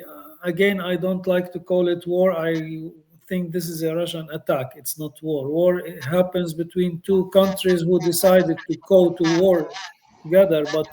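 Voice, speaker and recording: A man's voice: high voice, a little mellow, low-quality recording. A man leading a conversation group, speaking his mind and often adding "you know". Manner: outspoken, thoughtful, frequent "you know". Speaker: English conversation trainer. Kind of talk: online group conversation practice.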